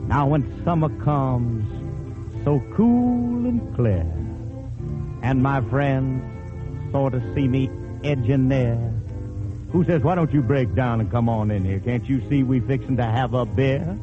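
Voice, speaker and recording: Slow-drawling man, half-spoken comic lament over music. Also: mid-range voice, lively intonation. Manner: drawling, folksy, addresses the audience. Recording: dull old radio recording with music. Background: music accompaniment.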